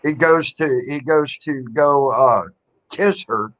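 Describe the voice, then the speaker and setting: A man's voice: on the high side, slow pace, a bit bright. A man hosting a casual livestream chat, rambling and swearing freely as he airs strong opinions.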